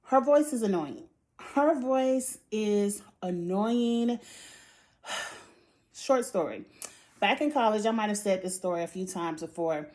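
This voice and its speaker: A woman with a high-pitched voice giving a casual, outspoken recap of a reality show, with sighs and laughs between points. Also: lively intonation, takes it slowly, long pauses, darkish tone. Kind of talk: casual opinionated chat.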